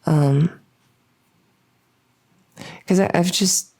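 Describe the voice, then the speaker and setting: A woman playing an awkward, lonely female vampire in an ASMR roleplay, speaking in a halting, earnest, rambling way to the listener. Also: fairly low pitch, darkish tone. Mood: nervous, rambling.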